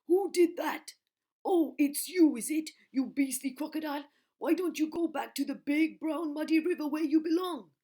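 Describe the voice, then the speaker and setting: Woman putting on a loud, high, outraged elephant. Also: moderate pace, close to an everyday voice. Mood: startled outrage. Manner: loud, indignant scolding. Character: indignant elephant, big and outraged, scolding.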